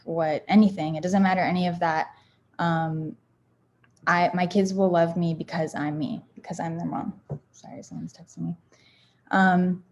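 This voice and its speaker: A woman's voice: fairly low pitch, a little mellow, highs cut off, slight background noise. A woman giving a casual motivational talk about affirmations, candid and rambling, with many fillers and restarts. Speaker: founder sharing self-help affirmations.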